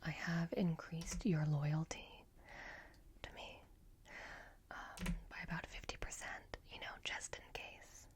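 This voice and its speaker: A woman performs an ASMR roleplay in a low-pitched, breathy whisper. She plays a quietly scheming robot repair technician who speaks directly to the listener. Her delivery is very slow and deliberate, coaxing and secretive, with long pauses between short phrases. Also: flat delivery.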